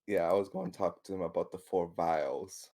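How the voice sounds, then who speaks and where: A man's voice: low voice, moderate pace, warm tone. A man chatting casually as a player in a tabletop roleplaying game, tentatively thinking aloud.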